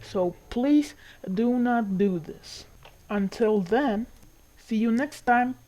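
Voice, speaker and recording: A woman's voice: on the high side, slow pace, mellow. A woman playing a polite female news anchor in a scripted broadcast. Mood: earnest, cautioning. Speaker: news presenter.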